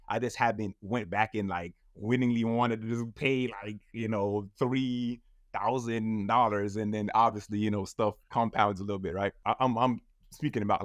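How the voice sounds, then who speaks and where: A man's voice: medium pitch, dry recording, studio quality. A man in his thirties, a guest in a casual interview, telling his story in a loose, rambling way full of fillers. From United States. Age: 30s.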